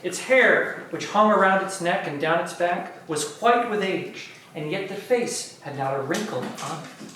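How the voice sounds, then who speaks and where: A man's voice: sits high, expressive, unhurried. A man reading scripted narration for an amateur dramatic play reading. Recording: very echoey room, distant mic, faint noise.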